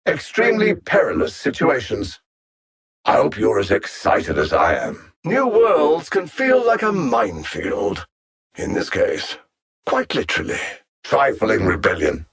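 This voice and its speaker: An actor playing an arrogant, cold alien supervillain in a video game, haughty and theatrical, savoring every word. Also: male voice, lowish voice, wildly swooping pitch, a little slow, gravelly, a bit bright, slightly rough, heavily acted. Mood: taunting, contemptuous.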